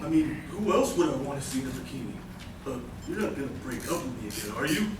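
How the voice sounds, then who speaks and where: A man playing an excitable, goofy teenage jock in a live radio play. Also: mid-range voice, fast, natural voice. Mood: flustered, pleading. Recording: echoey hall from far off, noisy.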